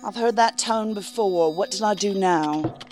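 A woman playing a brisk, protective tech operative in a science fiction audio drama. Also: mid-range voice, natural voice. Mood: firm, pleading. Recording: music under the voice.

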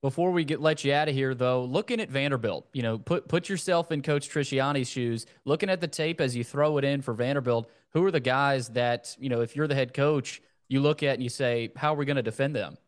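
A man's voice: medium pitch, fairly quick, hardly any pauses. A man hosting a casual sports interview, talking in a wordy, roundabout way. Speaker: sports podcast host.

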